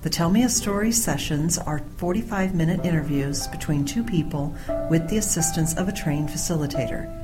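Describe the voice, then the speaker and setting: A woman reading a scripted introduction to an oral history program in an even, read-aloud delivery. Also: low-pitched, fairly quick. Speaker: program announcer. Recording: music bed behind voice.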